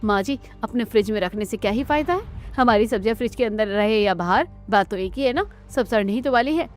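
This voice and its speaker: A woman playing a young, questioning Indian daughter-in-law in a family cartoon, talking in an animated, pert way. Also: mid-range voice, a little fast, soft background music, lightly acted. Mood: grumbling. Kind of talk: Hindi family cartoon dialogue.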